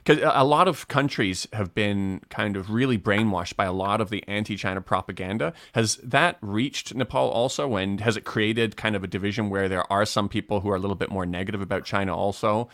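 A man hosting a casual chat over a video call. His voice sits on the low side and sounds dry and close, with no room around it. He talks in a loose, hesitant way, restarting his sentences often. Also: a little fast, hardly any pauses, a bit bright, no room sound. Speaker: host.

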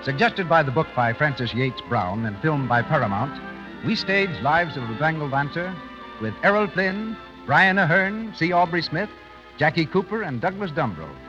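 A man with an American accent announcing and pitching a sponsor in a polished, formal 1930s radio style. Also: animated, moderate pace. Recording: muffled 1930s radio broadcast with music.

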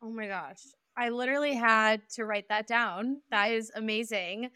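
A woman hosts a podcast with a bright, smooth, resonant voice, chatty and enthusiastic. Her words come quick with lots of "literally" and "like". The recording is dry and close. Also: a little high, no echo. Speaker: podcast host. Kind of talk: casual podcast conversation.

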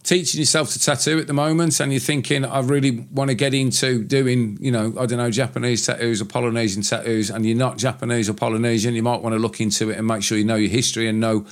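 A man's voice: mid-range voice, level tone, quick pace, few pauses. A man co-hosting a casual podcast, talking in a rambling, opinionated way and swearing now and then. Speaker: podcast co-host, tattooist. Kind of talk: casual chat.

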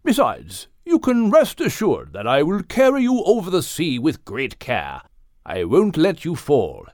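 Woman voicing a courteous, stately gentleman whale, slow and swooping. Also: low voice, huge pitch swings, no echo, clean studio sound, voice pushed up, full character voice. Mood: courteous, reassuring. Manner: courteous, genteel, drawn-out speech. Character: gentle enormous old-fashioned gentlemanly male whale.

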